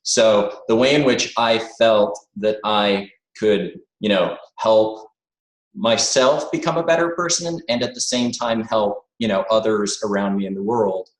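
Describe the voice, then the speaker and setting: A man's voice: fairly low pitch, a little slow, roomy sound, poor audio. A man speaking as an interview guest over a video call, thoughtful and hesitant, with many filler words. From born in the United States, raised abroad. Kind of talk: reflective conversational interview.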